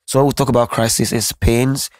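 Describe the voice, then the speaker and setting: Nigerian man, Yoruba native speaker, earnest musician guest, level even tone, dry close mic. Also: mid-range voice, a little fast, dry recording. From Nigeria (Lagos). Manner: earnest, reflective, frequent restarts. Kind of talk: candid interview conversation.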